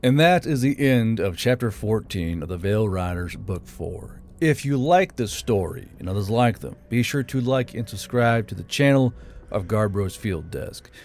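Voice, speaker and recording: A man's voice: fairly low pitch, expressive, moderate pace, darkish tone. A man narrating and hosting a self-produced fiction audiobook channel. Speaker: narrator and channel host, amateur author. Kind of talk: audiobook narration and informal member shout-outs. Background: background music.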